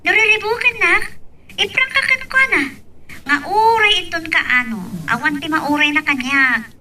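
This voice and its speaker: Actress as possessive young woman in love, Ilocano accent, piercingly bright, radio drama, slightly off-mic. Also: very high voice, normal speed, recorded from a distance, slight background noise, full character voice. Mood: insistent, possessive.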